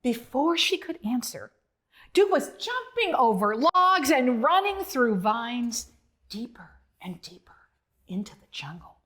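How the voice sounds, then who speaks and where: A woman reading a children's book aloud as its author, in expressive narration that speaks directly to young listeners. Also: on the high side, takes it slowly.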